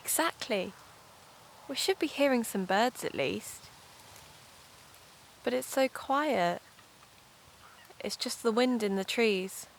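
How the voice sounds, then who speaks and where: An actress playing a brisk, dry-humoured woman who makes an amateur true-crime podcast, in an audio drama. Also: fairly high pitch, expressive, vocal fry, slight background noise, exaggerated voice. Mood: wry, watchful.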